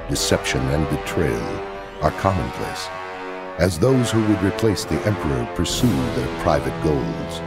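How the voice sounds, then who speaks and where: A man performing grave, ominous, dramatic narration for a space opera audio drama in a deep voice. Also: full character voice.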